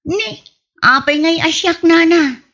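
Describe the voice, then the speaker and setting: A woman's voice: very high-pitched, slow, a bit bright, dull audio, exaggerated voice. A woman playing a modest, chatty village wife who exclaims conversationally in a comedy radio drama. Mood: surprised, reluctant.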